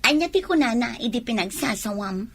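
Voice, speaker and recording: A woman's voice: a little high, expressive, fairly quick, fairly bright tone, a little hiss or hum. A woman playing a sly, meddling mistress in a radio drama, with a Filipino accent. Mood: goading.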